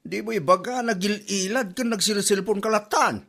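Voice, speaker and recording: A man's voice: high-pitched, normal speed, creaky, fairly bright tone, full character voice. A man playing a scolding, blunt older father and grandfather in an Ilocano comedy drama, lecturing in a Filipino Ilocano accent. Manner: nagging, lecturing.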